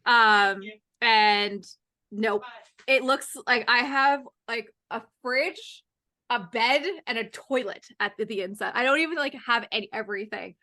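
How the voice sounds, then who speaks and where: A young woman hosts a podcast with a bright, lively edge to her fairly high voice. She chats casually and upbeat, often breaking into laughter. Her talk is loose and friendly. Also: unhurried. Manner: chatty, upbeat, laughs easily. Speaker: podcast host. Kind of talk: casual chat.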